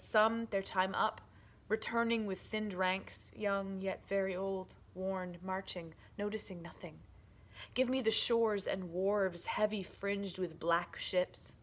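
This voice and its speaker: A woman giving a declamatory, emphatic dramatic reading of poetry. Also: mid-range voice.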